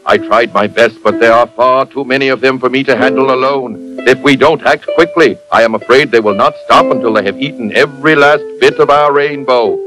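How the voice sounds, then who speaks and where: A man's voice: medium pitch, fairly quick, few pauses, music in the background, lightly acted, low-quality recording. A man playing a noble, earnest magic phoenix in a storybook tale, speaking with solemn formality. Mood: grave, pleading. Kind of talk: storybook character acting.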